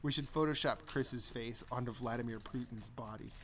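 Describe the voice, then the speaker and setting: A man's voice: medium pitch, normal speed, fairly bright tone, a little hiss or hum. A man co-hosting a casual gaming podcast, rambling through his points with frequent restarts and laughter. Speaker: podcast co-host. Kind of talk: casual chat.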